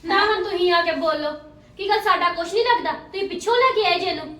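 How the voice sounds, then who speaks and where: A woman playing a sharp-tongued, short-tempered sister-in-law. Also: extremely high pitch, echoey room, far from the mic. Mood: indignant. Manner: sharp, scolding, quick retorts. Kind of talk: family drama skit.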